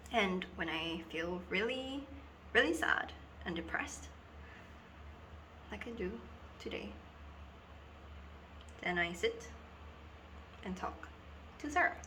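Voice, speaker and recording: A woman performing a dramatic monologue as a lonely, wistful girl who confides haltingly and theatrically in an invisible friend. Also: mid-range voice, sharp tone, a little hiss or hum, exaggerated voice. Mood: sad, tender. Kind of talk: dramatic monologue for an acting reel.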